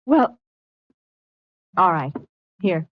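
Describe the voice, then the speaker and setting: An actress playing a young, evasive, defensive fiancée in a 1950s radio drama, halting and guarded. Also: female voice, fairly low pitch, big pitch swings, slightly rough, full character voice. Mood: nervous, guarded. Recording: dull, lo-fi old radio recording.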